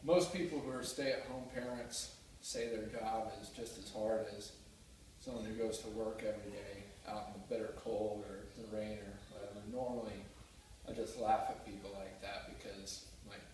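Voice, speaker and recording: A man's voice: fairly low pitch, steady tone, normal speed, darkish tone. A young man giving an earnest, heartfelt class speech, with a few small restarts. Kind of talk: prepared speech. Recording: roomy echo, mic far off, faint noise.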